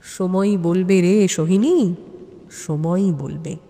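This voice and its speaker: A woman playing a calm, firm female detective in an audio story, speaking in a measured way. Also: lowish voice. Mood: gentle. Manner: firm, measured, soft-spoken at times. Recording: long hall reverb.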